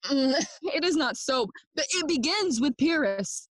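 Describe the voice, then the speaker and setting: A woman's voice: high voice, expressive, no echo, low-quality recording. A woman playing a brooding, mocking young prince who feigns madness, with theatrical Shakespearean delivery in an audio play. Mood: wry, welcoming. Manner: theatrical, deliberate verse delivery. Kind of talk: Shakespearean audio play acting, bilingual English and Spanish.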